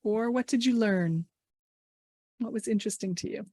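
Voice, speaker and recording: A woman's voice: mid-range voice, normal speed, warm tone, no room sound, clean studio sound. A woman trainer leading an online workshop, upbeat and encouraging, asking the group many questions. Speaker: English language trainer. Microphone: video call mic.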